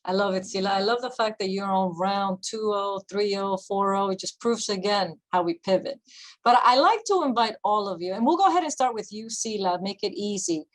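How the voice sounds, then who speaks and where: A woman with a medium-pitched voice moderates a panel. She is chatty and informal, and slips Spanish interjections into her talk. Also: lively intonation, a little fast, rough recording. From Dominican heritage. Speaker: moderator, host.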